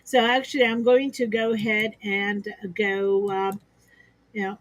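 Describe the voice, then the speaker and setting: A woman's voice: mid-range voice, fairly even intonation, a little slow, no room sound, rough recording. A woman speaking as an expert guest on a business livestream, upbeat and explanatory, laughing easily as she gives examples over a video call. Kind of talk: conversational business talk.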